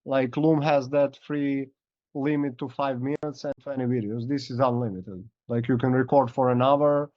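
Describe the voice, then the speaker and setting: A man speaks as an in a casual coaching call, hoarse and running low on voice. His explanations wander with "like" and "uh". The video-call sound is dry and dull. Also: fairly even intonation, moderate pace, no echo. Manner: loose, filler-heavy explaining. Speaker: coach giving feedback.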